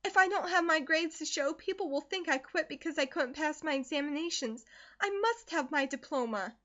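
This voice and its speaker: A woman's voice: sits high, moderate pace, bright, forceful tone, voice pushed up. A woman reading aloud and voicing an anxious, earnest teenage schoolgirl who pleads in distress, in a dull lo-fi recording. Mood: pleading.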